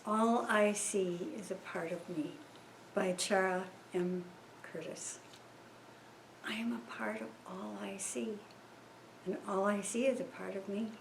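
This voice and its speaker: A woman reads a children's picture book in verse at a slow, rhythmic pace. Her pitch is in the middle for a woman. There is noticeable noise in the background of the recording. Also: fairly even intonation, roomy sound. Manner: slow, measured, rhythmic delivery. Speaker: story reader at a church service. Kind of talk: reading a rhyming children's picture book aloud.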